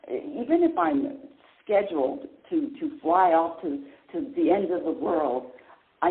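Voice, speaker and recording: Chatty woman radio host on a tinny line. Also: lowish voice. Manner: chatty, rambling, restarts often, laughs easily. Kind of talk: casual live call-in radio talk. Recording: echoey, tinny phone-line sound.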